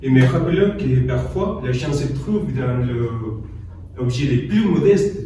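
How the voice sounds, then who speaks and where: A man's voice: mid-range voice, animated, measured pace, dark tone. A man speaking as a game show contestant, giving a prepared personal account from far off in an echoey room. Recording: very echoey, distant, faintly noisy room.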